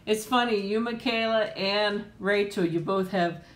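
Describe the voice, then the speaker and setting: A woman in middle age hosting a casual live chat with viewers at home, rambling and laughing often, with frequent ums. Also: measured pace. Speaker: host of a live chat and eating stream. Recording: echoey room with faint rumble.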